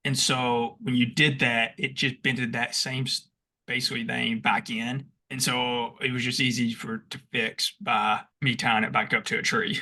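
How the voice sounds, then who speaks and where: Lively bright-voiced young man telling stories. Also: mid-range voice, normal speed. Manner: animated, acts out past shouts; rambling with restarts and laughs. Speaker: podcast co-host. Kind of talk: casual storytelling chat.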